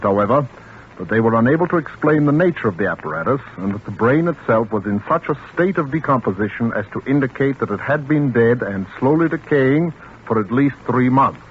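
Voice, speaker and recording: A male actor plays a 1940s radio newsman delivering a grim bulletin. He is formal, measured and detached, on a narrow phone-like recording with faint noise. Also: mid-range voice, lightly acted. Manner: formal, measured, matter-of-fact. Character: impersonal newsman reading a grim death report.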